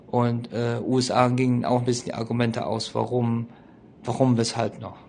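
A man giving an informal spoken history explanation in a colloquial, opinionated way, often halting and hesitating as he searches for words. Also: mid-range voice, flat delivery, gravelly, darkish tone, a little hiss or hum.

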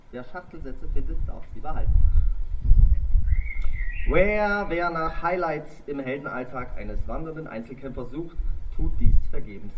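A man's voice: mid-range voice, distant mic, background noise. A man in his thirties reading aloud from his own travel book, in a wry, mock-grand way. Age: 30s.

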